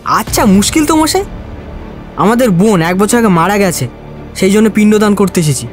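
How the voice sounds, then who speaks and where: A man's voice: sits high, expressive, moderate pace, soft background music. A young man voicing a brusque mourner in a cartoon, impatient and dismissive. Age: young adult. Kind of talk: animated cartoon voice acting.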